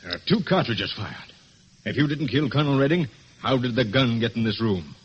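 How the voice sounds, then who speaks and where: An actor playing a stern, skeptical police inspector in a radio drama, blunt and authoritative. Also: male voice, medium pitch, lively intonation, brisk, close to an everyday voice. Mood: accusing, skeptical. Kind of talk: old radio drama acting. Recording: dull lo-fi radio recording, faint hiss.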